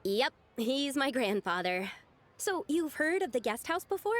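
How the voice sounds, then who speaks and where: A woman's voice: sits high, big pitch swings, measured pace, background noise. A woman playing an earnest, candid young female archaeologist in a video game, explaining herself expressively. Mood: candid, rueful. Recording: music playing behind the voice.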